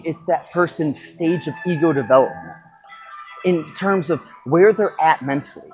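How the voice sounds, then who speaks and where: Guy doing a solo podcast, thinking out loud, kinda halting, rewords himself, music in the background. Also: fairly high pitch, poor audio. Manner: thinking aloud, halting and self-correcting. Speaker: podcast host. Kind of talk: unscripted reflective solo talk.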